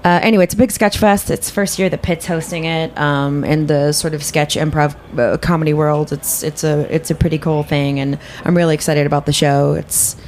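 A woman's voice: deep voice, steady tone, normal speed, few pauses, darkish tone, a little hiss or hum. A woman co-host and comedy performer chatting casually on a radio show. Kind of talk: casual chat, plugging a show.

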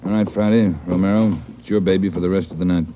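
An actor playing a brisk police superior in a vintage radio drama, giving clipped, authoritative orders. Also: male voice, low-pitched, fairly even intonation, a little hiss or hum, close to an everyday voice. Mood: brisk, serious. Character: brisk, commanding male police superior briefing his detectives. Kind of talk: 1950s radio drama acting. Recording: dull vintage radio recording.

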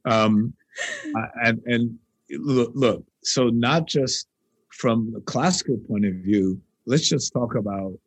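A man from New York, a guitarist and composer, talking casually in an interview and telling rambling, animated stories with many restarts. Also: mid-range voice, slow pace, breathy edge, no room sound.

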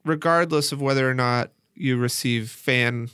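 A man's voice: mid-range voice, a little slow, bright, forceful tone, clear, resonant tone, no echo, studio quality. A man hosting a podcast, speaking as a narrator and conversational interviewer.

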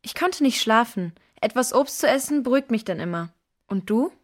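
A woman playing a calm, reassuring girl in a children's audio drama, with a gentle manner. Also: fairly high pitch, brisk. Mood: soothing.

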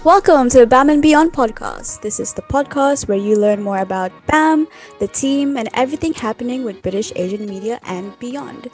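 A young woman with a fairly high voice opens a podcast in an upbeat, announcer-like way, welcoming listeners and bringing in the guest. Faint music plays underneath her. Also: animated, moderate pace, darkish tone. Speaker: podcast host. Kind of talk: podcast intro.

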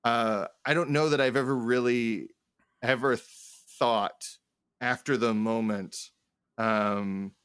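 A man co-hosting a casual advice chat about game mastering, halting and rambling as he thinks aloud. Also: medium pitch, fairly even intonation, a bit bright, clear, resonant tone, dry recording, clean studio sound. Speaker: podcast co-host and game master.

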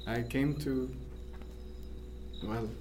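A man's voice: mid-range voice, steady tone, drawn-out words, background noise. Man acting a thoughtful ship's surgeon amid night insects. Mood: tender, hesitant. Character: thoughtful male ship's surgeon, guarded but tender. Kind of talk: radio drama acting.

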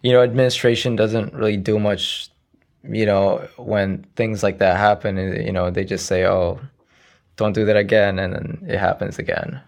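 Soft-spoken young man with a gravelly low voice. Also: flat delivery, normal speed. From Iraq. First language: Arabic. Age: around 20. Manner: soft-spoken, hesitant, frequent "you know" fillers. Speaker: interview guest, young poet. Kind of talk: casual conversational interview.

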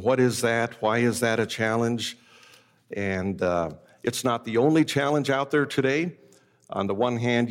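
Middle-aged guy, grew up in japan, giving a ministry talk, lots of uhs, long hall reverb. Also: mid-range voice. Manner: measured, with frequent "uh" fillers. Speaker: conference speaker on ministry.

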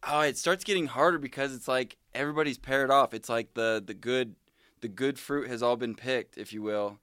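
A man in his thirties speaks as a podcast co-host in a casual, conversational way, often asking questions. The voice is clear and smooth, with a tight, echo-free recording. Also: medium pitch, moderate pace, a bit bright, clean studio sound. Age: 30s.

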